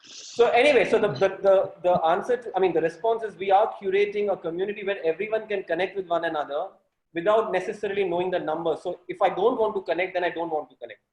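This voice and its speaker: A man hosting an online workshop over a video call. He talks haltingly, with many restarts and light jokes. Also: high-pitched, darkish tone, echoey room, rough recording. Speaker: workshop host.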